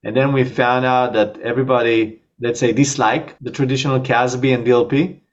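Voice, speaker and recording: A man's voice: medium pitch, flat delivery, normal speed, lo-fi sound. A man with an Israeli accent, a startup co-founder, talking casually in an interview over a video call, with frequent fillers.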